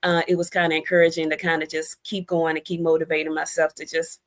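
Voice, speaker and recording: American woman, slightly low pitch, casual interview guest, chatty, storytelling manner. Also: flat delivery, fast. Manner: chatty, storytelling, conversational asides. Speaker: guest, debut novelist.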